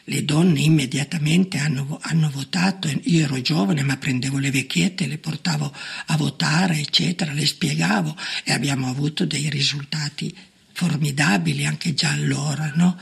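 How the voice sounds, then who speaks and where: Elderly woman with a heavily creaky voice. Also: low-pitched, normal speed. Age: older. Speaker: former partisan giving testimony. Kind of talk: spoken personal testimony. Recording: music behind the voice. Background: music.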